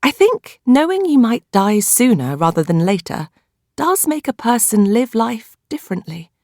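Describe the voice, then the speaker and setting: A woman with a British accent narrates a novel in the first person, in a measured, expressive storytelling manner. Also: a little high, no echo, studio quality. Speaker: audiobook narrator.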